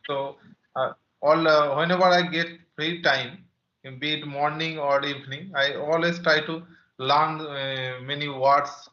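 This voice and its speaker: A man's voice: on the high side, bright, forceful tone, reverberant, lo-fi sound. A man hosting an interview, giving earnest, repetitive advice with many fillers and restarts. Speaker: host, English teacher.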